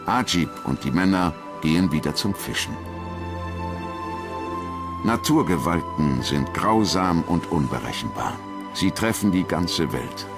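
Male narrator, very low register, solemn scripted documentary read with clearly audible music underneath. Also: lively intonation, unhurried.

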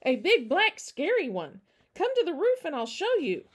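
Eager, high-pitched little girl. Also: huge pitch swings, moderate pace. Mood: excited. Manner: bouncy, questioning, earnest. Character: eager, earnest, curious little girl, servant's daughter.

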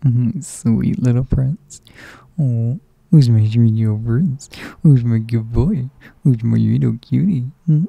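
Guy doing a slow, dark gravelly whispery boyfriend, teasing and doting, dry close sound. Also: medium pitch, no echo. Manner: soft, often whispered, coaxing, chuckling. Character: affectionate teasing male partner, doting, playful. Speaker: audio roleplay performer. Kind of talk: intimate ASMR roleplay.

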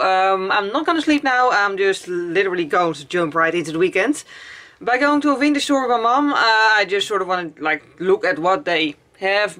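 A woman's voice: mid-range voice, a bit bright. A young woman vlogger chatting casually about her clothes at home, rambling a little with many fillers and repeated words. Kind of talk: casual haul vlog chat. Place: cluttered attic room at home.

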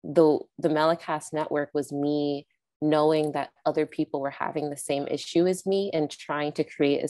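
Low, mellow-voiced young woman on a call. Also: vocal fry, dry recording. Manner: casual, earnest, many fillers like "like" and "um". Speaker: film director and network founder. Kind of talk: conversational interview answers. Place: indoors with a virtual background. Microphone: wired earbud mic on a video call.

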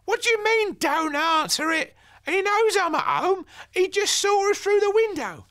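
A cheeky old grandmother in a children's storybook, squeaking high and swooping all over the place, played by a man. She sounds bright and shrill, with a lilt. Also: sing-song, measured pace, exaggerated voice. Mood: scolding.

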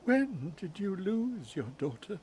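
Man acting slow, high-voiced grieving king. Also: sing-song, darkish tone, husky, no echo, full character voice. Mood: anguished. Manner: slow, emotional, theatrical. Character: grieving King of Naples, solemn bereaved father. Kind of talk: Shakespearean audio drama acting.

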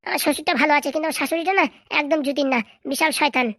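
A woman's voice: high voice, steady tone, racing, fairly bright tone, no room sound, studio quality. A woman playing an aggrieved, stubborn young wife, argumentative and emphatic, in a comic cartoon. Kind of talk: comic cartoon drama dialogue.

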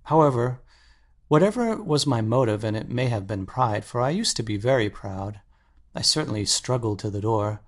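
A man's voice: medium pitch, expressive, moderate pace, close to an everyday voice. Actor as an earnest, intense male painter. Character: earnest, anxious male painter confessing his obsession. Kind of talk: dramatic audiobook acting.

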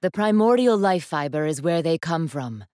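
A lowish-voiced bossy anime student leader girl, proud n formal, fierce resolve, game voice lines, very dry audio. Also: lively intonation, moderate pace, darkish tone, no room sound. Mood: grave, calculating. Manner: commanding, haughty, formal. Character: imperious, steely young female student council leader with fierce resolve.

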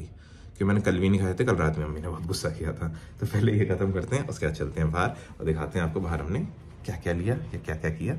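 A man's voice: low-pitched, a little mellow. A man, talking casually to camera as a fitness vlogger and calling viewers brother and friend. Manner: chatty, friendly, sprinkles English phrases, calls viewers bhai and yaar. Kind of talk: casual vlog chat to camera. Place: indoor rooms, a gym and a bedroom. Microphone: handheld phone selfie and fixed camera. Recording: roomy echo, faint ambient noise.

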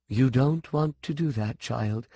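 A man's voice: medium pitch, measured pace, mellow, slightly rough, dry recording. A crazy old sorceress out of a storybook, played by a man, coaxes and wheedles. She is condescending yet childlike, and the recording is dry and close to silent around the words. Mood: mocking. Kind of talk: audiobook character dialogue.

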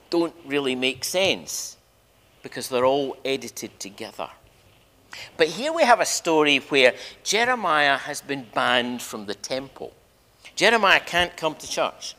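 An older man preaching as a minister, telling a story emphatically with dramatic pauses and repeated phrases in a large church. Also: mid-range voice, bright, forceful tone. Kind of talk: sermon, storytelling to a congregation. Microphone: lectern microphone. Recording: reverberant church hall.

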